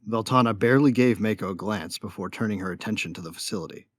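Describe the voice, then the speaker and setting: A man playing a wry young armored fighter, narrating a sci-fi audio drama in a measured, understated way. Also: lowish voice, no room sound, clean studio sound, lightly acted. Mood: calm, wry.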